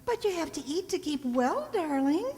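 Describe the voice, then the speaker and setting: A needy, gushing housewife played by a woman in a radio drama, with an extremely high voice. She is adoring and over-devoted, heard in a long hall echo. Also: big pitch swings, normal speed, a little mellow. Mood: doting. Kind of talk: radio play acting. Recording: echoey hall, stage-like reverb.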